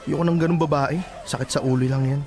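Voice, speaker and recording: An actor with a Filipino accent playing a strait-laced, grumpy young office worker in a radio drama, curt and scolding. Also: male voice, a little high, steady tone, fast, a little mellow, lightly acted. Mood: annoyed, dismissive. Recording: music bed underneath.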